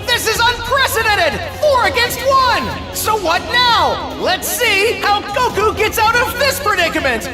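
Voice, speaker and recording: A man playing an excitable, flabbergasted martial arts tournament announcer in an anime game, calling the action in showy, exclamatory patter. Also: extremely high pitch, measured pace, hardly any pauses, over loud music, over a noisy crowd. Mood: thrilled, shocked. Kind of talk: anime game ringside commentary.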